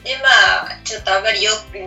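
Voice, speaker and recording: A woman's voice: medium pitch, fast talker, pushed and bright. A woman with a northern Kyushu Japanese accent, telling anecdotes casually as a podcast co-host. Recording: distant, roomy, muffled sound over music. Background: music.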